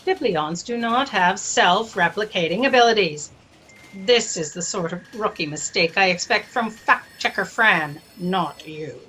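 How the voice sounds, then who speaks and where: Sci-fi satire role: actress as haughty female boss, bright, forceful and raspy. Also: medium pitch, lively intonation, measured pace, exaggerated voice, low-quality recording. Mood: scolding. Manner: haughty, declarative. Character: imperious, exasperated female boss of a cosmic office. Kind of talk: comedic audio drama acting.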